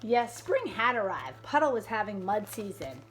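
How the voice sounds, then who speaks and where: A woman reading a picture book aloud to a child in an expressive, sing-song storytelling way. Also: medium pitch, moderate pace, faint music behind, poor audio. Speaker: parent reading along with child.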